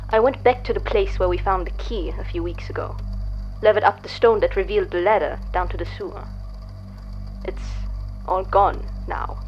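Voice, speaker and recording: Cryptic, self-assured theorist, portentous and deliberate woman acting, audio drama; dull lo-fi sound with music underneath. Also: medium pitch, lightly acted. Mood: grave, certain.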